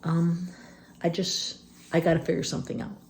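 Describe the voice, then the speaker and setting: A woman in her fifties talking candidly and unscripted in a vlog, rambling with many fillers and a phlegmy throat she keeps clearing. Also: lowish voice, monotone, normal speed, a little mellow, slight background noise. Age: 50s. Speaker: vlogger. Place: rural homestead.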